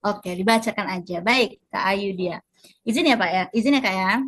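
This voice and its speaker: A woman's voice: medium pitch, moderate pace, fairly bright tone. A woman moderating a webinar in a polite, semi-formal but conversational way, checking in with her listeners.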